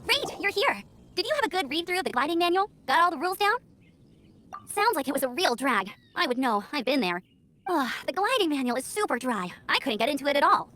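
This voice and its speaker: A woman's voice: high-pitched, very animated, fairly quick, bright, forceful tone, heavily acted. A woman playing a cheerful, eager teenage girl scout in a video game, earnest and informal. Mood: upbeat, excited, then confiding, candid.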